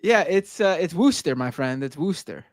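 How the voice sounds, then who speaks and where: A man's voice: fairly high pitch, expressive, a little fast, no echo, clean studio sound. A man with a New York accent co-hosting a casual livestream, reading out donations in a laid-back, hesitant way with lots of fillers.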